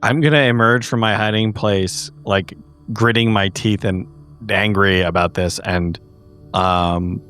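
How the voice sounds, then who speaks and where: A man's voice: on the low side, steady tone, unhurried, a bit bright, smooth. A man playing a tabletop game, decisively describing what his character does. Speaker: player.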